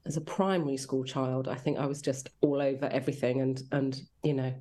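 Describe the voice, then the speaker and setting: English woman, lowish deep voice, chatting away in an interview, kinda self-deprecating. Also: little pitch movement, fairly quick, mellow. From rural Devon, England. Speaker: interview guest, children's drama commissioning editor. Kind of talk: casual reflective interview chat.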